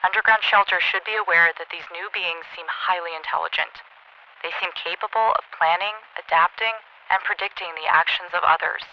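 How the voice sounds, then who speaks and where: A solemn emergency announcer in an audio drama. The voice is high for a man, measured and deliberate. It comes through a dull, filtered radio transmission. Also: steady tone. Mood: grave warning. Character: grave male emergency broadcast announcer warning survivors. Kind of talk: scripted emergency bulletin. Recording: band-limited radio transmission sound.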